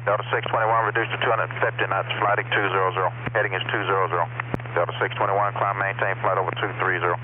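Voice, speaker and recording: Male departure controller, lowish voice, rapid clipped calls, tinny radio line with hiss. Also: little pitch movement. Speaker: air traffic departure controller.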